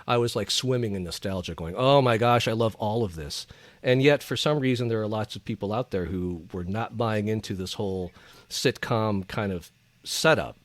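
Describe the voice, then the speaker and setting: Low-voiced man chatting about pop culture. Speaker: podcast panelist. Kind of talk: casual pop culture chat.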